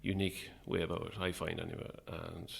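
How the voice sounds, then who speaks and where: A middle-aged man with an Irish accent speaks in a very low voice with a creaky, gravelly edge. He chats informally as an interview guest, in a modest, self-deprecating way. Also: flat delivery, brisk, a little hiss or hum. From Ireland. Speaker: guest, playwright and actor. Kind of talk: casual interview chat.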